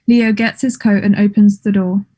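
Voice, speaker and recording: A woman's voice: mid-range voice, steady tone, moderate pace, dark tone. A woman reading stage directions as scripted narration, in a plain, neutral manner.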